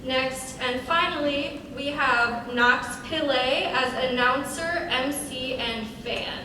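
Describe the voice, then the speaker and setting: High-voiced young woman in an echoey hall. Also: takes it slowly. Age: young adult. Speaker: showrunner introducing a cast at a table read. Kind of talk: live event introduction to an audience. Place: large echoey room, live event. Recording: noisy, very echoey hall, distant mic.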